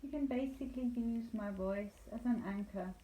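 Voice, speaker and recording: A woman leading a guided meditation as a yoga nidra instructor, speaking calmly and soothingly with deliberate pauses. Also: fairly high pitch. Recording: roomy echo, light background noise.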